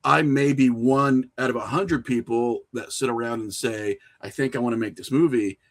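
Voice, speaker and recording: Middle-aged American man, animated casual storyteller. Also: medium pitch, normal speed. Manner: animated, acts out imagined dialogue. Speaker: podcast guest, independent filmmaker.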